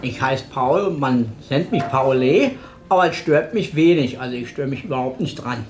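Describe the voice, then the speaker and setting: A man's voice: medium pitch, moderate pace, music in the background. A man who acts in theatre, talking casually and chattily, often repeating himself. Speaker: theatre actor. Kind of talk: casual interview talk.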